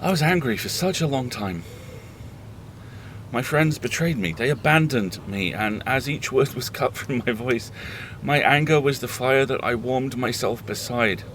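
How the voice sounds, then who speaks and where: A man playing a weary but defiant radio host in an audio horror drama, speaking gravely and deliberately. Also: medium pitch, animated, a bit bright, a little hiss or hum. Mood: weary, reflective.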